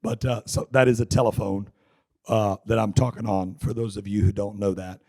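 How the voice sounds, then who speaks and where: A middle-aged man from North Carolina, giving a joking, chatty seminar talk with a raspy voice hoarse from laryngitis. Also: fairly low pitch, steady tone, moderate pace, warm tone. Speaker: seminar speaker. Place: conference hall. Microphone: handheld mic.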